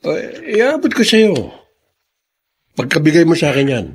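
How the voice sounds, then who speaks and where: A man's voice: on the high side, sing-song, a little slow, slightly rough, full character voice. A man acting a tough, taunting undercover policeman in a Filipino radio drama, commanding and confrontational. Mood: guarded.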